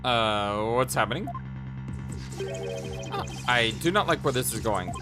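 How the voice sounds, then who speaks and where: A woman's voice: a bass voice, expressive, slow. A woman hosting a let's play, making wry, amused asides with a stuffy nose. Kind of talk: casual gameplay commentary. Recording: game music behind the voice.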